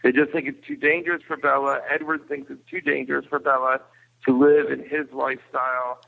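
Man on a tinny phone line, creaky voice. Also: mid-range voice, little pitch movement, brisk. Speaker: podcast guest. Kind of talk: casual film recap discussion.

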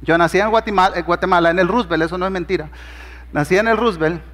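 A man's voice: high-pitched, quick pace, a bit bright, big-room sound. A man with a Honduran Spanish accent preaching a sermon as pastor, conversational and humorous, acting out bits of dialogue in his stories. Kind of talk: sermon with storytelling.

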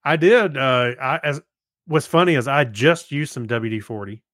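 A man's voice: medium pitch, normal speed, fairly bright tone, no echo, clean studio sound. A man co-hosting a podcast in casual chat, conversational and given to joking. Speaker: podcast co-host.

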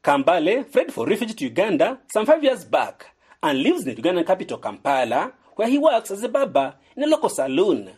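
A man's voice: sits high, wildly swooping pitch, fairly quick, a little mellow, breathy edge. A man reporting a scripted radio sports feature, narrating between interviews.

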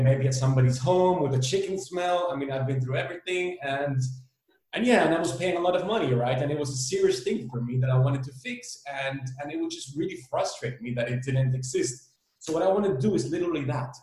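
A man in his thirties speaks candidly as an interview guest, his words tumbling out with fillers and restarts. The recording carries heavy room echo. His voice sits at a middling pitch. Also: darkish tone. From El Salvador. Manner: candid, fast-paced, hesitant restarts and fillers. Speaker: founder, guest. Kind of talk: casual interview talk. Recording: very echoey room.

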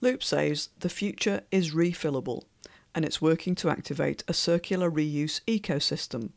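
A woman hosting a podcast, reading a scripted intro with an upbeat, measured delivery, then opening an interview. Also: deep voice, vocal fry, a little mellow, no room sound. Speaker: podcast host.

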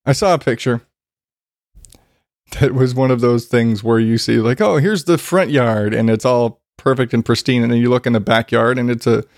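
A man's voice: medium pitch, moderate pace, a little mellow, no echo. Congested man ranting in a dry room. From Arizona, US. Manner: profane, rambling, self-deprecating. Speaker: vlogger. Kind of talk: casual rant.

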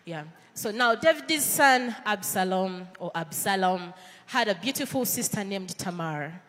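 A woman's voice: medium pitch, lively intonation, a little slow, fairly bright tone. Ugandan woman preaching in a reverberant hall. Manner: earnest, conversational, with many fillers and restarts. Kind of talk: sermon. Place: church.

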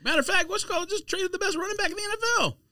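A man's voice: very high voice, very fast, pushed and bright, no echo, studio quality. A man co-hosting a podcast, arguing in casual, slangy sports banter with a contrarian streak. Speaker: podcast co-host.

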